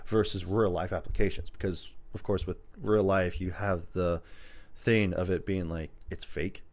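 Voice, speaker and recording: A man's voice: sits low, flat delivery, normal speed, a little mellow. A man chatting casually as a podcast co-host, with slangy, informal wording. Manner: slangy, informal, occasional swearing. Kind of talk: casual chat about movies and games.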